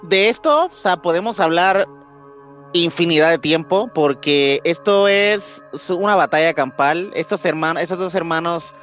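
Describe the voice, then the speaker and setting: Halting, higher-voiced Mexican man. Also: a bit bright. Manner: halting, searching for words, slangy. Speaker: podcast co-host. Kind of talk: casual podcast chat about ancient mysteries. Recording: music behind the voice.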